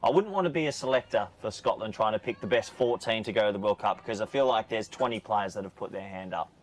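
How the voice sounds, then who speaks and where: A man's voice: lowish voice, quick pace. An Australian man doing live cricket commentary, chatty and joking with his co-commentator while reading out viewer questions.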